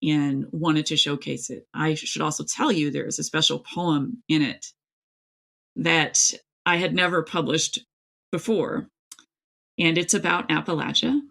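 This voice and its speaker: Creaky-voiced woman chatting about her work. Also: deep voice, a bit bright. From East Tennessee, United States. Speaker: author, interview guest. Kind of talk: casual, chatty interview talk.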